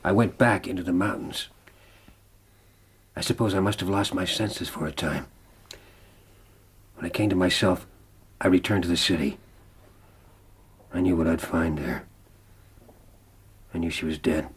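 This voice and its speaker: A gravelly, haunted frontiersman, very low. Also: measured pace, pauses often, full character voice. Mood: bleak, grieving. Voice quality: gravelly, husky. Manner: grave, weary, intense. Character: haunted, desperate male frontiersman recounting his lost love. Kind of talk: radio drama acting and narration.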